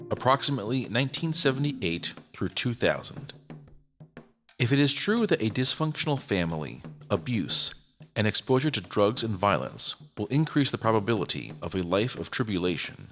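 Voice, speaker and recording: A man's voice: on the low side, fairly bright tone. A man reading a scripted true-crime story as a podcast narrator.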